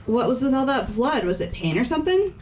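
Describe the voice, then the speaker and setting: A woman's voice: high voice, very animated, a little fast, darkish tone. A woman in a fan-voiced game playthrough, playing a skeptical, questioning teenage girl student. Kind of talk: scripted game dialogue read aloud by fans. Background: background music.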